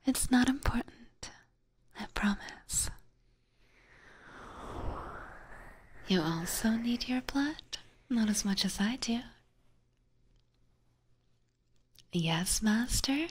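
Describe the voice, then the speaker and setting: A woman's voice: fairly high pitch, lively intonation, breathy edge. A woman voicing a seductive, teasing vampire catgirl in an ASMR roleplay, whispering breathily and coaxingly right up close to a binaural microphone. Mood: coaxing, possessive. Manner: slow, coaxing, playfully menacing. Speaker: streamer playing a character for live chat.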